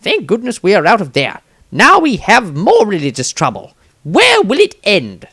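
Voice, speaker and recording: A careless, glib wizard brushes things off in a high, loud, breezy voice, as a man puts him on. He sounds dismissive and self-assured, a storybook spellcaster. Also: huge pitch swings, moderate pace, slightly rough, no room sound, clean studio sound, heavily acted. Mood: grumbling.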